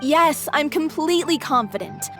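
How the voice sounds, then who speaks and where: A woman's voice: high voice, animated, normal speed, fairly bright tone, soft background music. A woman voicing a determined, earnest stage actress in an anime video game. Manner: firm, earnest.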